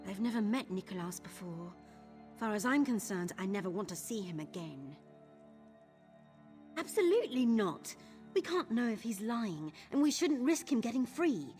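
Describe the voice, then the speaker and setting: A blunt female thief from a video game, voiced by an actress, firm and distrustful at a medium pitch. Faint music sits under her resolute delivery. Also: very animated, moderate pace, darkish tone, close to an everyday voice. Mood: defiant, distrustful. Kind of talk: scripted video game dialogue.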